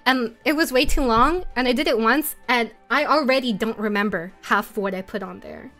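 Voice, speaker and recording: A woman's voice: a little high, normal speed, a bit bright. A woman streamer giving a self-mocking stream self-introduction, often laughing. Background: faint music.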